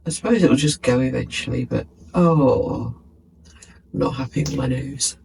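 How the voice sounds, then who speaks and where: Congested British man, gravelly voice. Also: medium pitch, moderate pace, darkish tone, a little hiss or hum. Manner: chatty, musing, self-mocking. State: stuffy, congested from a lingering cold. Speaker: craft vlogger. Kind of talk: casual vlog chat.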